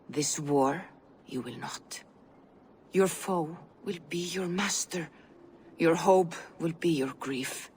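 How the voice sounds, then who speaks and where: An actress playing an ominous Viking seer in a video game, delivering prophecy in a portentous, incantatory and dramatic way. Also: female voice, lowish voice, husky, exaggerated voice. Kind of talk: video game dialogue acting.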